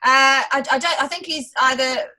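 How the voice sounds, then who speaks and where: A young woman being interviewed as a guest researcher, talking animatedly, laughing often and acting out what others say. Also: high voice. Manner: animated, quick to laugh, acts out quotes. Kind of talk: casual interview chat.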